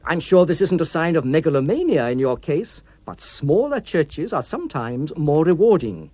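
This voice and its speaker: Guy doing a creepy anonymous letter writer for an audiobook, fake friendly and needling, arch, kinda high, close dry sound. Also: big pitch swings, moderate pace, mellow, no echo. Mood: mock-friendly. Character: anonymous male postcard writer, mock-friendly, needling, quietly menacing. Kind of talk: dramatic audiobook reading.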